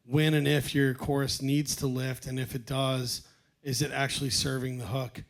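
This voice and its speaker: Gravelly male co-host, mid pitch, steady mentoring tone. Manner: measured, encouraging, mentor-like. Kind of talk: casual feedback chat.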